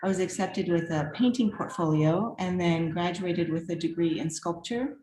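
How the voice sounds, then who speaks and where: A woman's voice: lowish voice, normal speed, mellow, slight background noise. Middle-aged woman, art professor, chatty and upbeat, recorded in an echoey room. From former Czechoslovakia. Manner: chatty and upbeat, laughs often. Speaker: guest artist and art professor. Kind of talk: casual self-introduction at a virtual reception.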